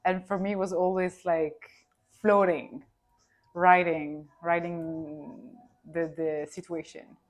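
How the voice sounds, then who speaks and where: A woman's voice: lowish voice, little pitch movement, takes it slowly. A woman from Milan with an Italian accent, a native Italian speaker, talking as a podcast guest in a reflective way, often searching for words. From Milan, Italy. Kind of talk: casual interview chat.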